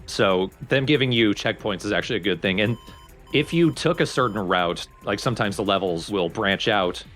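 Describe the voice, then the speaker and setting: Casting: male let's play host, on the deeper side, wry explainer over game music. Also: expressive, moderate pace, a bit bright.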